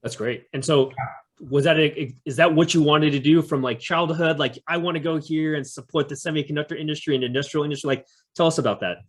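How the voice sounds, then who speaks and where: A man's voice: fairly high pitch, fairly quick. Man hosting a community interview. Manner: many fillers, friendly and curious. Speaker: interview host. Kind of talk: community event introduction and interview.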